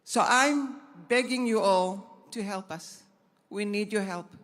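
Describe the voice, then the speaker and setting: A woman's voice: mid-range voice, slow pace, a bit bright. An older woman giving an emotional speech of thanks, pleading and repeating her words. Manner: pleading, repetitive. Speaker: hostage's wife giving a speech. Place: large banquet hall.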